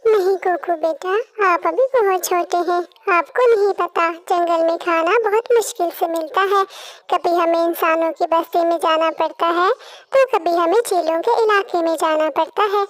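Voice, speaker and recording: A woman's voice: extremely high pitch, fairly even intonation, moderate pace. A woman voicing small birds, a devoted mother and her little chick, in a children's cartoon. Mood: tender. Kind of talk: Hindi children's cartoon voice acting.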